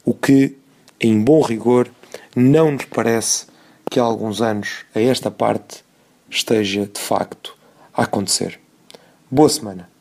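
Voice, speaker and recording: A man with a European Portuguese accent reads a scripted opinion commentary in formal, measured, short phrases. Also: lowish voice, creaky, darkish tone.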